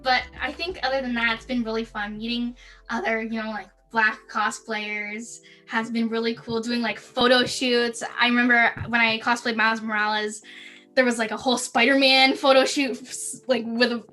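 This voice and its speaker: Young girl chatting in an interview, bright highish voice, says like a lot, a bit tentative. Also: little pitch movement, normal speed, faint music behind. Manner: youthful, tentative phrasing with many "like" and "I think". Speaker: interview guest. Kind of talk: casual chat.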